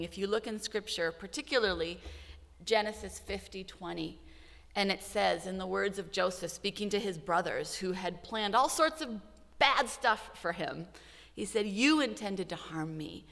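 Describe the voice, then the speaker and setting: A woman's voice: mid-range voice, gravelly, a bit bright, in a large hall. A woman in her forties, a missionary telling a heartfelt story of her work to supporters. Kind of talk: church missions update.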